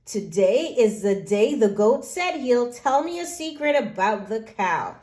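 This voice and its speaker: A woman's voice: on the high side, big pitch swings, measured pace, darkish tone. A woman voicing a self-absorbed, gossipy goose in a children's story, with a breezy, dramatic manner. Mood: breezy refusal. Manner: dramatic, excuse-making, gossipy.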